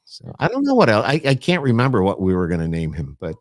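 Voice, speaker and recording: A man's voice: fairly low pitch, wildly swooping pitch, quick pace, clean studio sound. A man hosting a podcast, chatting casually with his guests and often echoing his own agreement. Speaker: podcast host interviewer. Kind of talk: casual chat interview.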